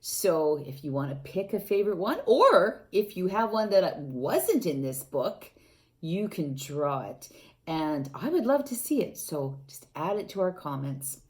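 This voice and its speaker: A woman reading a picture book aloud to young children, gently asking them questions and adding playful sound effects. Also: lowish voice, very animated, unhurried. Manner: gentle, coaxing, asks the young listeners questions.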